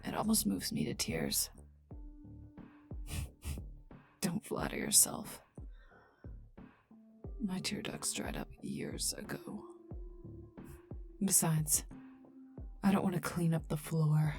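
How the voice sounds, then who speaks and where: A woman voicing a cold, menacing female poisoner agent, mocking and condescending, in an ASMR roleplay with breathy murmurs. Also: a bass voice, huge pitch swings, over music, heavily acted. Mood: sardonic, scornful. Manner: slow, taunting, condescending, often dropping to a breathy murmur.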